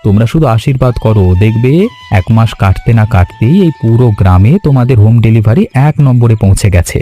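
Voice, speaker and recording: A man voicing an eager, chatty young village delivery man with a coaxing manner in an animated story. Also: lowish voice, fast, soft background music, close to an everyday voice. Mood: delighted.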